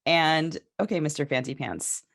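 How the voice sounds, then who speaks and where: A woman's voice: sits low, expressive, moderate pace, vocal fry, a bit bright. An American woman co-hosting a podcast with casual, opinionated political talk and a wry, playfully sarcastic manner. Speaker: podcast host.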